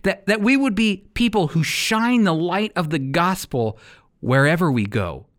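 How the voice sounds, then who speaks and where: A man's voice: high voice, expressive, a little slow, fairly bright tone. A man hosting and teaching on a radio Bible program, speaking in an earnest, emphatic, sermon-like way.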